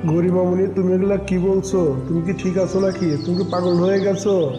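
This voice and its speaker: A man voicing a plain-spoken, gently reproving adult bird in a cartoon. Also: high voice, flat delivery, moderate pace, lightly acted. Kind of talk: cartoon character dialogue. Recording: echoey room with clear music behind.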